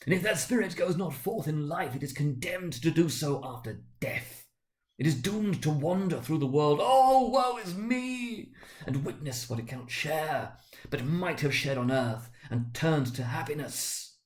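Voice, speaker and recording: A man voicing a mournful male ghost in a dramatic storybook reading, with a slow, drawn-out, wailing solemnity. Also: fairly high pitch, animated, pitched above the performer's own voice. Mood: grave, commanding. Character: mournful male ghost of a dead business partner.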